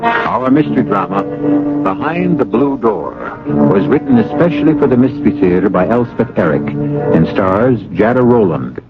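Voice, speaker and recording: A man hosting an old radio mystery drama as a grave, philosophical narrator, with a measured, theatrical delivery. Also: on the low side, sing-song. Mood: grave, musing. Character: grave, philosophical male mystery show host. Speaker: radio drama host and announcer. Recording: dull old radio broadcast, music behind.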